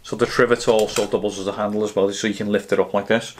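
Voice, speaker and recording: Low, even-voiced man. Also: quick pace, slight background noise. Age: 30s to 40s. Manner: matter-of-fact, explanatory. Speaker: camping gear reviewer. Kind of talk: casual product review to camera.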